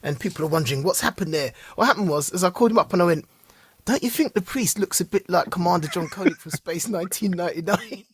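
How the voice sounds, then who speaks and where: A British man co-hosting a podcast, chatting casually and telling relaxed anecdotes. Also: high voice, quick pace, breathy edge. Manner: relaxed storytelling, laughs along. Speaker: podcast co-host. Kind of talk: casual chat.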